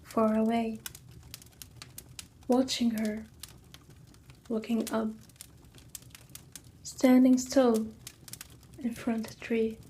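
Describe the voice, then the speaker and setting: A woman narrating a scripted ASMR story in a soft, hushed manner with drawn-out phrasing. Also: a little high, flat delivery.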